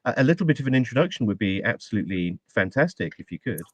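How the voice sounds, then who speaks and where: A man's voice: medium pitch, sing-song, racing, no room sound. A man hosting a podcast in casual chat, rambling with many ums and restarts before bringing on a guest. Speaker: podcast host.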